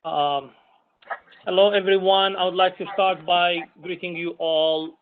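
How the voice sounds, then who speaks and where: A man from Algeria whose first language is Arabic, an imam introducing himself at a public meeting in measured speech with many pauses. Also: high-pitched, steady tone, slight background noise. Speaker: imam and commission member. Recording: tinny phone-like call audio.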